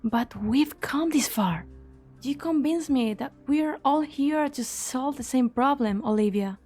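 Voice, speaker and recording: A woman's voice: high voice, unhurried, darkish tone. A woman voicing an anxious, conscientious young doctor in a game drama fan dub, earnest and concerned. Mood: uneasy, hopeful. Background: background music.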